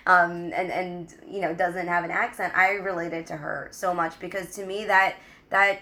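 A woman in her thirties from the United States, a guest talking casually in an interview, halting with many ums and restarts. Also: fairly low pitch, level tone, bright, forceful tone.

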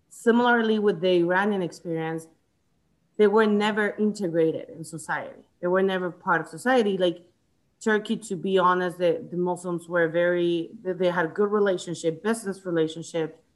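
A woman from Chile talks through her thoughts on a book in a relaxed discussion. Her voice sits a little low. She hesitates often, restarting phrases and filling gaps with "like" and "you know.". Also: normal speed. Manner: hesitant, many restarts and fillers. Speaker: book club member.

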